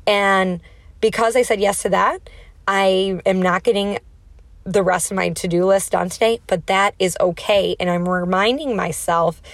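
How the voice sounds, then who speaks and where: A woman's voice: medium pitch, steady tone, measured pace, dry recording. A woman in her thirties with a nasal voice, chatting casually and emphatically as she hosts a solo podcast. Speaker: podcast host.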